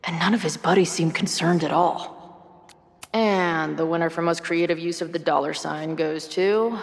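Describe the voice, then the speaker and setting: A woman's voice: on the low side, normal speed, vocal fry. A woman playing a wry, glib young amateur sleuth in a video game, naturalistic in delivery. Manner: sardonic, glib, quippy. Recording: music under the voice.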